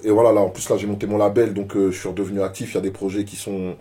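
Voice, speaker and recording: A man's voice: fairly low pitch, flat delivery, racing, warm tone. A rapper being interviewed, talking casually and often repeating words while he searches for them. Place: media office.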